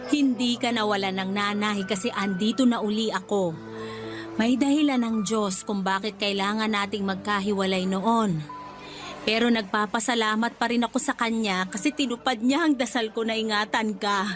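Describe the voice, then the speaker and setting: An actress playing an older, devoted nanny in a Tagalog radio drama, tender and emotional in manner. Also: female voice, medium pitch, moderate pace, close to an everyday voice. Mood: tender, reassuring. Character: older tearful devoted nanny, motherly and faithful. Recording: background music under the dialogue.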